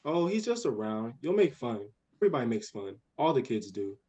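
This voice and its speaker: A man's voice: medium pitch, big pitch swings, a little fast, dark tone, breathy edge. Man acting an impatient kid, dull lo-fi audio. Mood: earnest, restless. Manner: impatient, earnest. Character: eager, bossy, imaginative young girl under eleven. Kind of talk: radio play acting.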